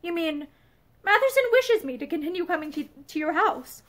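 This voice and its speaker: A woman reading aloud, voicing a grim, reserved man who speaks tersely and quietly. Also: extremely high pitch, lively intonation, normal speed.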